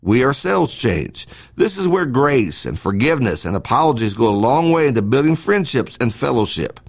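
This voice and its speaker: Male preacher for devotional podcast: gravelly, mid-pitched, lively intonation, earnest and measured scripted read.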